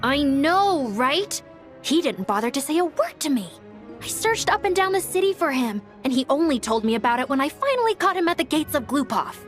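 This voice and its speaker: High, lively, candid little sister. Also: female voice, a little fast, soft background music. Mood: reproachful. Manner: frank, mildly reproachful. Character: bright, chatty young little sister, candid and fretful. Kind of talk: animated game cutscene acting.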